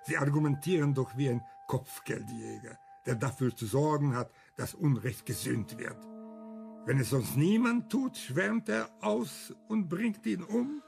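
An actor playing an older, embittered but principled camp survivor and writer in a German radio crime drama, arguing emphatically with rhetorical questions. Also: male voice, a little high, measured pace, creaky, a little mellow, faint music behind. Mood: agitated, arguing.

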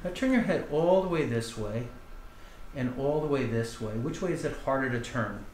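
A middle-aged man, a chiropractor calmly instructing and explaining adjustments to a patient as he works. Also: mid-range voice, moderate pace. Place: small indoor treatment room. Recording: echoey room with background noise.

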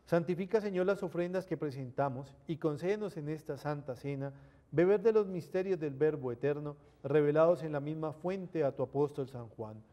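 A middle-aged man, a priest, reciting liturgical prayers in a measured, reverent, solemn way. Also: on the high side, lo-fi sound. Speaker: priest celebrating Mass. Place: indoor chapel at an altar. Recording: reverberant chapel sound.

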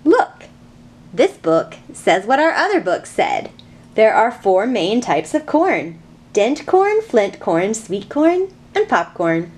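A woman's voice: medium pitch, very animated, slow, darkish tone. A young woman hosting a children's storytime, explaining things simply in a sing-song way to small children.

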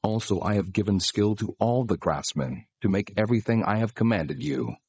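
A man playing God in a dramatized scripture reading, speaking solemn, deliberate commands with authority. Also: on the low side, expressive, a little mellow, slightly rough, dry recording, studio quality. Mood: solemn, commanding. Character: God, solemn male deity giving commands.

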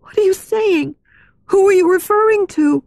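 A woman's voice: fairly high pitch, measured pace, creaky, darkish tone, dry recording, voice pushed up. A woman playing a shy, emotional young woman who speaks guardedly in an audiobook story. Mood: alarmed, questioning.

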